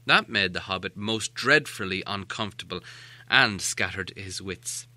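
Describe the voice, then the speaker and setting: A man's voice: deep voice, moderate pace, bright, forceful tone, dry recording. A man reading a story as an audiobook narrator, in a dramatic storytelling style.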